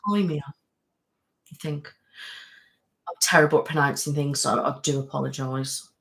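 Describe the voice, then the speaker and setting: A woman's voice: deep voice, steady tone, moderate pace, vocal fry, a bit bright. Rambling, chatty woman. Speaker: live-streaming channel host. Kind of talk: casual chat, reading viewer comments. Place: home.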